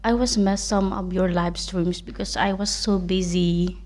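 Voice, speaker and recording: A woman with a Filipino accent whose first language is Tagalog. She chats as a guest on a livestream in halting English and laughs often. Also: on the low side, steady tone, a little mellow. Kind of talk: casual livestream chat.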